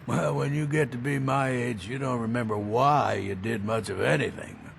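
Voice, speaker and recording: An actor playing a gruff, proud and weary old Nord blacksmith with a gravelly voice in a video game. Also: male voice, mid-range voice, normal speed. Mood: weary, dismissive. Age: older. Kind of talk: video game character dialogue. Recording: faint background noise.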